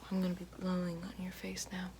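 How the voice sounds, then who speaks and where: A woman playing a calm, gentle witch in an ASMR roleplay, whispering slowly and soothingly right at the mic and repeating soft praise. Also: lowish voice, lightly acted. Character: calm gentle female witch guiding elements. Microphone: close binaural-style mic. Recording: noisy hiss behind voice.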